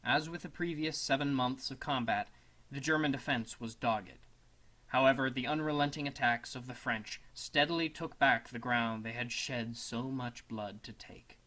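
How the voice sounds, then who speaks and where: A man narrating a scripted essay as a dramatic reading, in a solemn, measured manner. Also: medium pitch, bright, forceful tone. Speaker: student narrator.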